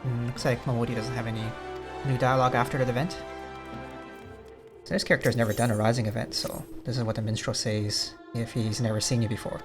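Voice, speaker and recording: A man giving casual, rambling let's play commentary in an understated way, thinking aloud as he goes. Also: mid-range voice. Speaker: gaming video host. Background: music behind the voice.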